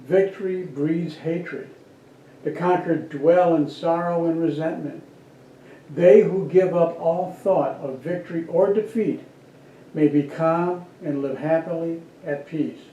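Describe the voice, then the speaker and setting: A man reads formally at a church service, slow and deliberate, with a very soft-edged dark tone. His voice is a little high for a man. The recording is distant, dull and echoey. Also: slight background noise. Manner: measured, deliberate phrasing. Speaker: worship service reader.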